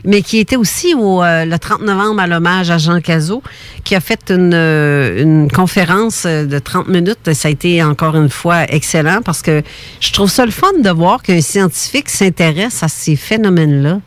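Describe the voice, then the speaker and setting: A woman with a Québécois French accent hosts a casual podcast chat. She talks in loose, halting bursts, breaks off and starts her sentences again, and often laughs between them. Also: lowish voice, no echo. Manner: hesitant, many restarts and laughs. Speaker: podcast host.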